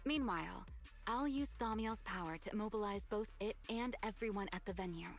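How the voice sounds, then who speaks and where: An actress playing a composed, wistful young female fighter in an anime game, speaking in a measured, earnest way. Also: medium pitch, lightly acted. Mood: resolute. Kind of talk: scripted anime game acting. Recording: game music behind the voice.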